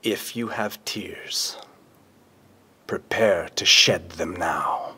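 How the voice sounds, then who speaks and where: A husky, impassioned Roman orator. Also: male voice, medium pitch, expressive, slow. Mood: grave, restrained. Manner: declamatory, swinging from whispers to shouts. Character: impassioned Roman statesman orating grief and war. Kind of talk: theatrical Shakespearean stage monologue.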